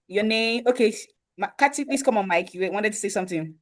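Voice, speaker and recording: A woman's voice: mid-range voice, expressive, quick pace. Woman hosting an online webinar. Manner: welcoming, repeats "you understand" often. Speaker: webinar host. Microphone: video call.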